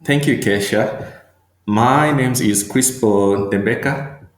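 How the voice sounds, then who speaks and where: A middle-aged man from Tanzania, a medical doctor and regional manager, answering interview questions slowly and thoughtfully, with many fillers and repeated words. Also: medium pitch, echoey room.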